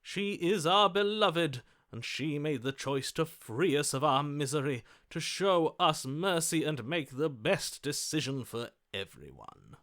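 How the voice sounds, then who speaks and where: An actor voicing a lovestruck, chivalrous romantic in a video game, with florid, ardent delivery. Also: male voice, on the high side, a bit bright. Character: lovestruck, theatrical romantic hero, grandiose and chivalrous.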